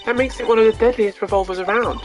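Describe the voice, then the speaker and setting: A woman's voice: fairly high pitch, fast, music in the background. A woman streaming a video game, reading its dialogue aloud live.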